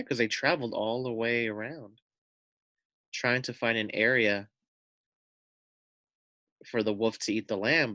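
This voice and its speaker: A man's voice: medium pitch, bright, forceful tone, no room sound. A man reading a children's story aloud expressively, with simple explanatory asides for young listeners.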